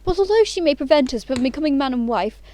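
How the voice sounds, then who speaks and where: Woman playing a high-voiced, imperious society lady. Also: animated, fast, warm tone. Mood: grandly romantic. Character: imperious, romantic young English society lady. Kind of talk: theatrical comedy of manners audio play.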